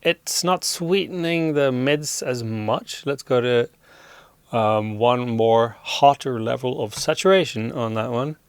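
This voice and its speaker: A man hosting a casual hands-on audio gear demo, relaxed and appreciative as he thinks aloud. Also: medium pitch, slow, slight background noise. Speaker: host demonstrating audio gear.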